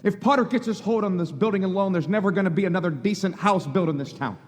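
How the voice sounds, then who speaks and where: A man acting a flustered, earnest young small-town everyman in a live radio drama. His voice sits fairly high and he stammers, doubling back on his words as he pleads. It rings through a reverberant hall. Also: quick pace, natural voice. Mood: earnest pleading. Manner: stammering, repeating words, folksy.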